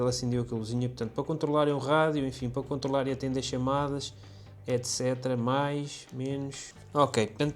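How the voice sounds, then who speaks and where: A man's voice: a little high, a little mellow. A man with a European Portuguese accent giving a casual, chatty product demonstration, explaining things directly to viewers. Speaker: motorcycle vlogger reviewing gear.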